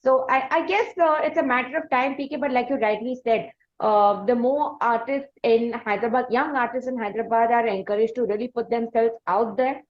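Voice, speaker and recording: A woman with an Indian accent hosting a conversational interview, phrasing her questions in a halting, wordy and formal way. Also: fairly high pitch, dull audio. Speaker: podcast interview host.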